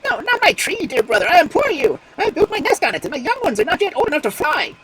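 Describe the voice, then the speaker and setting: A woman voicing a shrill, frantic magpie. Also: very animated, a loud crowd, rough recording. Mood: pleading. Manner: shrill, pleading and fast. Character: frantic pleading male magpie, anxious parent bird.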